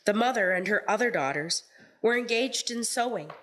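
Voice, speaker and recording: A woman narrating a radio play in a measured storytelling manner from a script. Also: mid-range voice, lively intonation, a bit bright, a little hiss or hum, poor audio.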